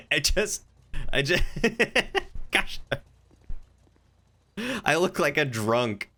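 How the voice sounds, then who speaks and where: A man's voice: on the high side, lively intonation, drawn-out words, sharp tone. A man chatting casually as he streams game roleplay, rambling and thinking aloud. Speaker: livestreamer.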